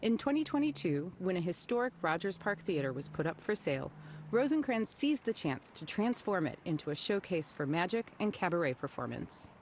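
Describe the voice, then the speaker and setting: A woman reporter reading a scripted news feature narration in a measured, expressive way. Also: on the low side, slight background noise.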